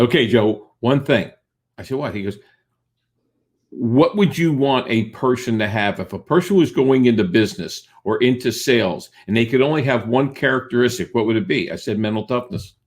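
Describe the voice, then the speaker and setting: A man's voice: fairly low pitch, moderate pace. A man hosting a podcast interview as a sales coach, speaking directly and checking in with short tag questions. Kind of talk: conversational interviewing.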